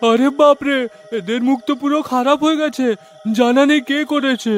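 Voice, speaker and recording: A man voicing a gruff villager in a cartoon who scolds and brushes off the victims. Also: very high-pitched, steady tone, moderate pace, soft background music, voice pushed up. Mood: scornful. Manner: scolding, dismissive, loud. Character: loud gruff male villager, scornful.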